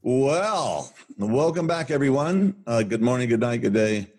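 An older man with a booming voice, co-hosting a podcast and telling a story in an enthusiastic, rambling way with many restarts. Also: big pitch swings, a little slow, darkish tone. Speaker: podcast co-host. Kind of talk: casual rambling chat.

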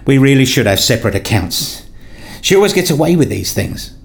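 A man plays a brooding father in a radio drama. He is wounded and quick to justify himself, speaking deliberately and inwardly at a middling pitch. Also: very animated, creaky, husky, exaggerated voice. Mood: bitter, brooding. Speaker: narrator and lead actor in a radio play. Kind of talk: radio play narration and dialogue.

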